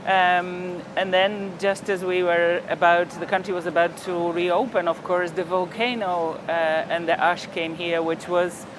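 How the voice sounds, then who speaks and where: An older man from Poland, a diplomat speaking in a television interview in a reflective, measured way with frequent hesitations. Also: high voice, flat delivery, background noise. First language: Polish. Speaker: ambassador.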